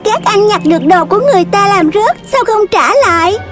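A woman's voice: very high-pitched, fairly quick. A woman voicing an aggrieved little calf child in a children's cartoon, plaintive and childlike. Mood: reproachful. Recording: loud music behind the voice.

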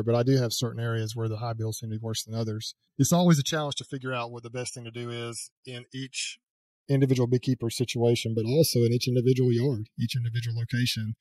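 Host role, older guy, fast and flat-toned delivery, dry close headset sound. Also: mid-range voice, no echo, poor audio. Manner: rambling, self-correcting, chuckles at himself. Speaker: beekeeping channel host. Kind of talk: casual interview chat. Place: home room with a headset mic. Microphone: headset with a boom mic, video call.

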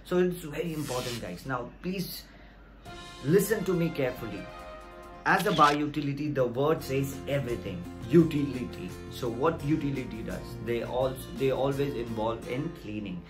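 A man's voice: medium pitch, normal speed. A man, explaining things to viewers in an emphatic, repetitive, direct way. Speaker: video host giving career advice. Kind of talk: explainer vlog to camera. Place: small plain room indoors. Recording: music behind the voice.

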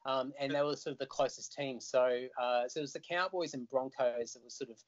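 An Australian man, a musician guest, telling stories in an animated, chatty way over a video call. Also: medium pitch, fairly quick. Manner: animated, chatty storyteller who laughs easily. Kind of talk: casual video call chat. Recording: dull lo-fi call audio.

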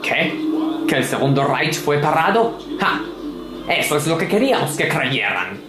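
A man's voice: a little high, sing-song, a little slow, roomy sound, over loud music. A man, giving sardonic, grumbling, mock-pompous gameplay commentary from a home room. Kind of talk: sarcastic ranting game commentary.

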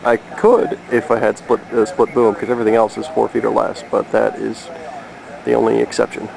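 Low, creaky-voiced man rambling casually. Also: flat delivery, a little fast, a crowd in the background. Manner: hesitant, rambling, thinking aloud. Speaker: amateur radio hobbyist filming his gear. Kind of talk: casual unscripted demonstration. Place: outdoors in a yard. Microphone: handheld camera microphone. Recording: noisy handheld camera with background hum.

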